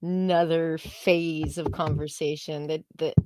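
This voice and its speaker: A woman's voice: low voice, flat delivery, slow pace, dark tone, dry recording, low-quality recording. A woman chatting casually as a show's co-host. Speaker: show co-host and editor. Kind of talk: casual chat.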